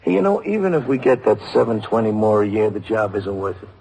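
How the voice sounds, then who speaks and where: A man's voice: fairly low pitch, sing-song, quick pace, gravelly, mellow, heavily acted. An actor playing a bitter, grumbling policeman and family man with an American accent in a vintage radio drama. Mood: wry. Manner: grumbling, sardonic. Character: bitter, weary policeman, a married man with kids. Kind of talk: 1950s radio drama acting. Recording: noisy vintage radio recording.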